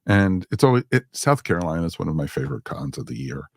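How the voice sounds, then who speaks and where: A man's voice: sits low, sing-song, fast, no room sound, studio quality. A man co-hosting a casual fan podcast, chatting in a wry, mildly sarcastic way. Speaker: podcast co-host.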